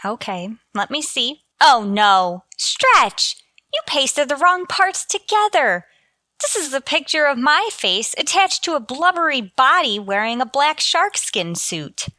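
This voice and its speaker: A sardonic female schoolteacher from a vintage radio comedy, played by an actress, speaks in a high, bright voice. Her dry sarcasm rides on lively swings of pitch. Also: measured pace, no echo, exaggerated voice. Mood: appalled. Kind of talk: 1940s radio sitcom acting.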